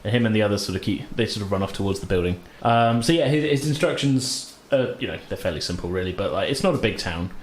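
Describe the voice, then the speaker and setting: A man improvising narration as a tabletop game master in an informal, halting way. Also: on the low side, animated. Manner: halting, informal, hedging descriptions. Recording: roomy, light background noise.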